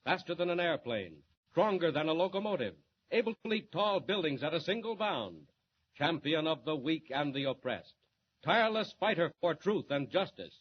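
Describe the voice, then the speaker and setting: A man's voice: a little high, big pitch swings, normal speed. A man narrating a 1940s radio serial as its announcer, in dramatic scripted style. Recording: muffled old radio recording, faint hiss.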